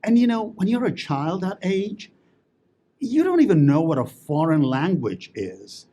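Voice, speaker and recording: A man's voice: high voice, measured pace. A middle-aged man from Italy who grew up speaking Italian and English, telling stories as an interview guest with many fillers and laughs. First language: bilingual Italian and English. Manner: expressive storyteller, lively, with frequent fillers and laughs. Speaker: interview guest, memoir writing teacher. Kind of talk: conversational interview storytelling. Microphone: local TV interview mic.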